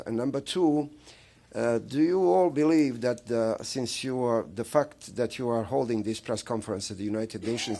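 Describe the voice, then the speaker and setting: A man's voice: fairly low pitch, a little mellow, breathy edge. A male reporter asking long, winding questions at a press conference. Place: press briefing room.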